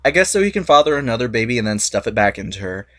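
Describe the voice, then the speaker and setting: A man's voice: medium pitch, very animated, racing, fairly bright tone. A man giving a comic dramatic reading of myths, sarcastic and irreverent. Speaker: narrator.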